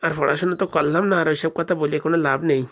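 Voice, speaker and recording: Cartoon village boy played by a woman: grumbling, colloquial, cowardly braggart, lower register, brisk chatter. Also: steady tone. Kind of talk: comic cartoon dialogue.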